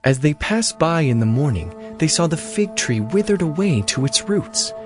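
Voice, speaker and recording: Guy narrating bible passages, even tone, music playing under. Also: a little high, moderate pace. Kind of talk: dramatized scripture reading.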